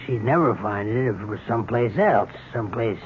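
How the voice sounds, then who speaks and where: A dull, slightly noisy old radio recording of a man acting a simple-minded male accomplice in a mystery play. He is halting and deferential, with guilt in the way he explains himself. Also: lowish voice, lively intonation, natural voice. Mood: earnest, confessing. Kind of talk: 1970s radio drama acting.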